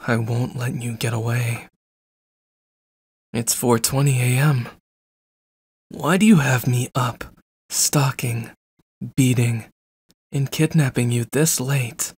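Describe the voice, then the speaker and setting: A man's voice: medium pitch, fairly even intonation, takes it slowly, long pauses, a little mellow, slightly rough, no room sound. A man playing a jealous, controlling stalker in an ASMR roleplay, with a cold, clipped, threatening delivery. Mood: controlled threat. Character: jealous, controlling, menacing young male stalker.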